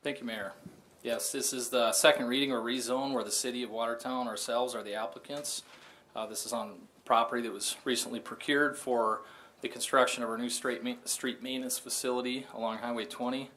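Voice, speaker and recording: An American man, a public works director, delivering a formal staff report in a halting, matter-of-fact manner. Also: medium pitch, fairly even intonation, pushed and bright, slight background noise.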